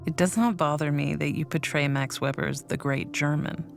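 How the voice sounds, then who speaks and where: A woman voicing a young woman scholar, reading formal letters in a measured, thoughtful way for a documentary. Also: sits low, steady tone, vocal fry. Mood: firm. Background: faint music.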